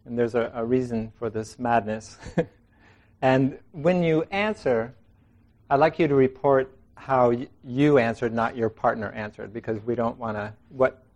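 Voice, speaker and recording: A man leading a group discussion in a meeting room. He talks in a relaxed way, laughing often and restarting his sentences. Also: mid-range voice. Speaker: meditation group leader.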